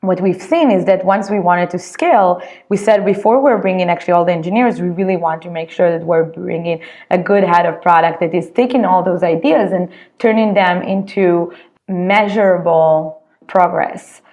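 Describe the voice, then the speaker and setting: Israeli-accented woman, chatty and low-pitched. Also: normal speed, a little mellow, lo-fi sound. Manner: chatty, many "like" fillers and restarts. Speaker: startup co-founder and CEO. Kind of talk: casual interview answers.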